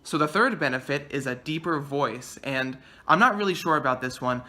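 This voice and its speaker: Bright-voiced young man vlogging earnestly. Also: on the high side, animated, normal speed. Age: 20s. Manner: earnest, thinking aloud with frequent uh and um; gestures a lot with his hands. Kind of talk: casual talk to camera. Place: small bedroom indoors.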